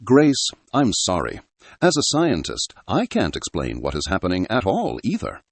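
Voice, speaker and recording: A man's voice: sits low, huge pitch swings, moderate pace, slightly rough, heavily acted. A man voicing an earnest geologist hero in an adventure story, speaking with dramatic urgency. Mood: apologetic, shaken.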